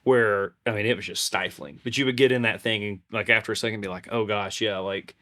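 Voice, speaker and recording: A man's voice: lowish voice, steady tone, a little fast, sharp tone. A man co-hosting a podcast, chatting casually in a conversational, unscripted way. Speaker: podcast co-host. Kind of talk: casual chat.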